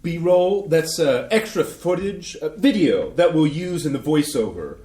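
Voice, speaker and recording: A man reading the part of a smooth-talking editor in a staged play reading. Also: on the high side, unhurried. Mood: glib. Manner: smooth salesman patter, animated. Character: glib male cookbook editor, eager to please. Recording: roomy stage sound.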